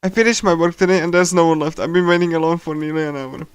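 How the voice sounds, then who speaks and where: Male streamer, smooth clean tone, close dry sound, casual commentary and stumbling read-aloud with repeated words. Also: a little high, steady tone, no room sound. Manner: halting, repeats and stumbles while reading. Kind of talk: casual game livestream commentary and reading aloud. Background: game music.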